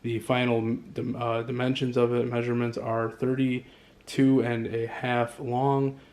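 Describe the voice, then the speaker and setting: A man talks through a casual home vlog from his condo, drifting from point to point and stopping often to hunt for the next word. His pitch stays level with little rise or fall. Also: monotone, a little mellow. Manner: rambling, pausing often to find words. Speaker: vlogger. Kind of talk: casual show-and-tell vlog.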